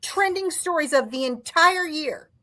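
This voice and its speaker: A woman with a high-pitched voice, co-hosting a casual debate podcast. She argues emphatically and drops sarcastic remarks into the back-and-forth. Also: animated, a little slow, bright, forceful tone. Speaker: podcast co-host.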